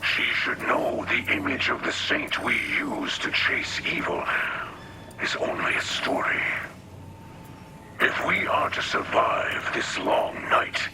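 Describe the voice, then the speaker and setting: An actor playing a solemn, weary but hopeful alien leader in a video game, with a breathy, rasping whisper and formal, halting phrases. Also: male voice, mid-range voice, animated, background noise. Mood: reflective. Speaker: leader and mentor. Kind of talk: video game cutscene acting.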